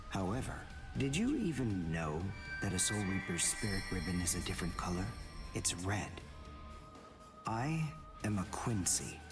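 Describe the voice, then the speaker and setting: Snooty cold teen anime rival, condescending game dub voice, big pitch swings, music under it. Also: male voice, recorded from a distance. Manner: haughty, deliberate, condescending. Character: cold, arrogant teenage male archer rival.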